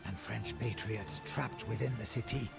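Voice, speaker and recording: A man playing a battle-weary French soldier who narrates a video game's storybook journal in a solemn, theatrical, measured way. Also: on the low side, gravelly, over loud music. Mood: grave foreboding. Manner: solemn, theatrical, measured delivery with hushed phrase endings.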